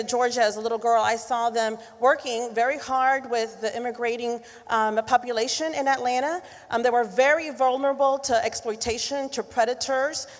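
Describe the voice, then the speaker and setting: A woman with a fairly high voice tells a personal story as a panelist, using frequent fillers. A large hall gives her voice a long reverb.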